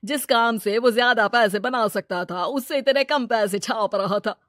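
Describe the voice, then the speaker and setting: A woman's voice: on the high side, moderate pace, fairly bright tone, no room sound, studio quality, lightly acted. A woman voicing a greedy, scheming village moneylender, a brusque and bossy husband in a cartoon moral story. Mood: smug, scheming.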